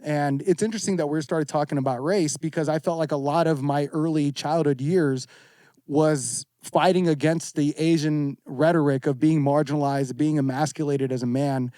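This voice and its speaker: A man in his thirties speaks as a guest on a casual fitness podcast. His voice sits a little high and is recorded dry, with little room sound. He is earnest and reflective, and leans on casual slang. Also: steady tone, normal speed, mellow, dry recording. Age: 30s. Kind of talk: candid casual podcast conversation.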